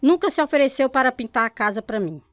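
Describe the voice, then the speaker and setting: An actress playing a kindly, generous widowed mother-in-law in a radio drama, speaking gently. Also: sits high, animated, quick pace, dry recording, studio quality.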